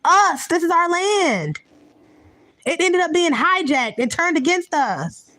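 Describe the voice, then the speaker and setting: A woman's voice: very high-pitched, sing-song, takes it slowly, sharp tone, no echo. A woman giving a heated, profane, unscripted rant to her viewers, blunt and repetitive, often restarting her sentences. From United States. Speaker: vlogger.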